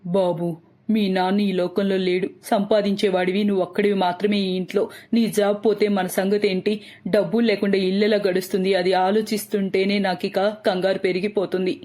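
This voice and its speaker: A woman voicing an expressive, doting middle-aged mother and mother-in-law in a cartoon story. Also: medium pitch, fairly quick, natural voice. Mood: anxious.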